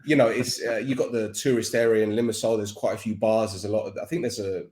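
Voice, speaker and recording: Cockney man, guest expert, rambling casual chat, lowish voice. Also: steady tone, fast talker, a little mellow, echoey room. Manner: chatty, rambling with restarts.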